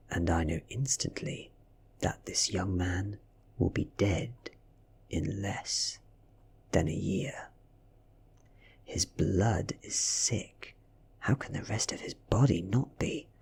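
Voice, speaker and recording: A man with a British accent softly narrating a story in ASMR style, breathy and often near a whisper. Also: low voice. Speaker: audiobook reader.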